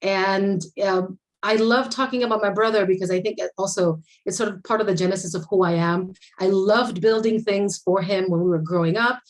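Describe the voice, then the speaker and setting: A woman's voice: medium pitch, fairly even intonation, a little fast. A woman from Puerto Rico, whose first language is Spanish, gives a personal presentation over a video call, with frequent restarts as she tells her story. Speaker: conference presenter. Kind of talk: remote presentation.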